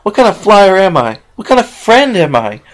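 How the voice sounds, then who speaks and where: A man voicing a brash, tomboyish young female pegasus filly, loud and high and strained when upset. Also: big pitch swings, moderate pace. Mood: despairing.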